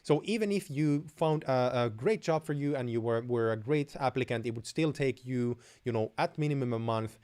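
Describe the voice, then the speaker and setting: A man's voice: medium pitch, normal speed, hardly any pauses, darkish tone. Young finnish guy hosting a live q&a, blunt straight answers, lots of uhs and restarts, chuckles now and then. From Finland. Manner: blunt, direct advice with frequent restarts and fillers. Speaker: host. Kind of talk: live-stream Q&A.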